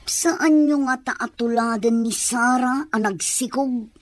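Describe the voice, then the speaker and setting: High-pitched nagging older woman played by a woman. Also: slow, no echo. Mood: inquiring. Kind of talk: Ilocano radio-style serial drama acting.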